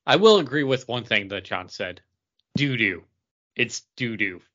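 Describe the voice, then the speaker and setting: Bright, animated man in casual banter. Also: mid-range voice, unhurried. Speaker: podcast co-host. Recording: dull, muffled audio.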